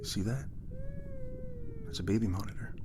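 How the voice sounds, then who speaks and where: A man's voice: lowish voice, wildly swooping pitch, normal speed, background noise. A man playing a dry-humoured, hard-working young father and husband in a naturalistic audio drama. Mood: playful, dry.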